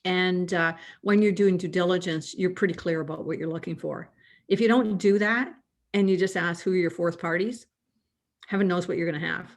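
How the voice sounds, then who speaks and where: A woman's voice: on the low side, fairly even intonation, moderate pace. A Canadian woman speaking as a guest expert, chatty and explaining in a casual conversational way. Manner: chatty, laughs easily, restarts often. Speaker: risk management guest.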